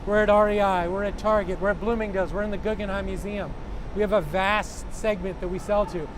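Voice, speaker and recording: High-voiced man pitching his company. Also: fairly even intonation, a little fast, background noise. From New York. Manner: fluent pitch-like, listing partners. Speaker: company founder. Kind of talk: interview answers.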